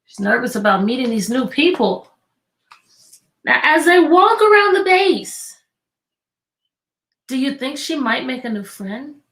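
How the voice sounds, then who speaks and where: Higher-pitched woman reading to children. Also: gravelly. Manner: expressive, deliberate, prompting young listeners. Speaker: teacher reading a picture book aloud. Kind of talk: children's read-aloud with questions.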